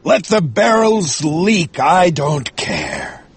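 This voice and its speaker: A man voicing an obsessed, domineering whaling ship captain with a rough, strained voice and a slow, commanding manner, in an audiobook story. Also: fairly high pitch, huge pitch swings, voice pushed up, full character voice. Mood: scornful indifference. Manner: slow, commanding, angry outbursts.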